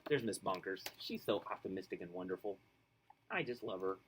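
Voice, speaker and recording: A man's voice: deep voice, a little fast, background noise. A man reading a children's book aloud expressively, with enthusiastic casual asides. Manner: enthusiastic, animated. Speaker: storybook reader.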